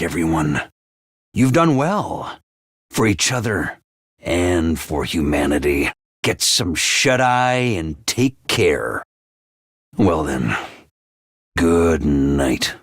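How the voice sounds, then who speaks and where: A man's voice: extremely high pitch, sharp tone, dry recording, clean studio sound, heavily acted. An actor voicing a pompous, cheery male academy commander in a video game, giving rousing speeches to his troops. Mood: soothing. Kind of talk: game voice lines.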